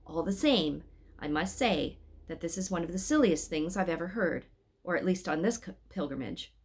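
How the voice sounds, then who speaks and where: A woman voicing a gentle but scolding male angel in a storybook, deliberate and reproachful. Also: on the low side, animated, husky. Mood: indignant.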